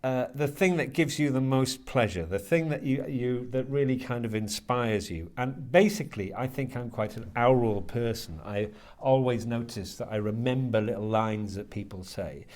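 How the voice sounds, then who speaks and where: A British man in his fifties talking reflectively in an interview, halting and restarting as he searches for his words. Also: mid-range voice, a little mellow. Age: 50s. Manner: hesitant, halting, searching for words with repeated starts. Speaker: interviewee, writer and lecturer.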